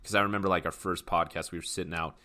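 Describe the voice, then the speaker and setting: A man's voice: sits low, fairly even intonation, very fast, sharp tone. A young man in casual podcast chat, rambling and filling his talk with "like". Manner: rambling, heavy use of "like". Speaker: podcast co-host, guest.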